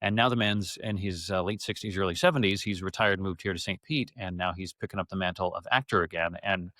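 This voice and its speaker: A man with a fairly low voice talks casually as an interview guest. He tells things in an animated, effusive way. The sound is dry, with very little room in it. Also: a little fast, dry recording. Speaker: interview guest, theater actor and radio-theater adapter.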